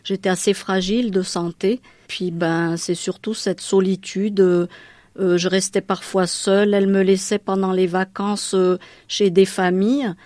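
A woman from Madagascar whose mother tongue is Malagasy recounts her past in an interview. She speaks haltingly, with frequent fillers and restarts. Her mid-pitched voice is recorded dry and close. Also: level tone, darkish tone, dry recording. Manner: hesitant, many fillers and restarts. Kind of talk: personal life story in an interview.

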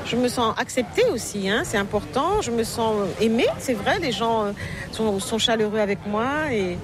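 Une femme d'âge moyen, romancière originaire de Paris, répond en entretien de façon réfléchie et hésitante, avec des « euh » et des reprises fréquentes. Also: female voice, a little high, animated, moderate pace. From Paris, France. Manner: reflective, hesitant with frequent fillers and restarts. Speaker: novelist guest. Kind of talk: casual interview conversation. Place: outdoor market, among people. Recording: busy crowd noise outdoors. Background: crowd chatter, passing vehicles.

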